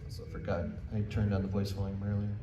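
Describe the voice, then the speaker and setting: Low-voiced American man presenting in a roomy space. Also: little pitch movement, quick pace, muffled recording. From United States. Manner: casual, self-deprecating, jokey asides. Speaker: convention panel presenter. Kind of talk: informal presentation to a live audience. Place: indoor convention panel room. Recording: roomy, distant mic, faint noise.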